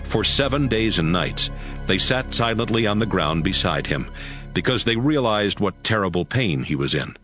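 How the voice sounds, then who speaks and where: Narrator, male: fairly deep, very varied delivery for dramatized scripture, faint music underneath. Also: lively intonation, normal speed, fairly bright tone.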